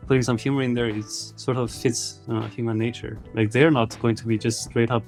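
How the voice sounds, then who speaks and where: A man talks through his creative thinking as a game writer in a relaxed interview. His pitch is mid-range and his explanations are reflective. Faint music plays behind him. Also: a little fast. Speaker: indie game developer and writer being interviewed. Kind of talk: casual interview chat.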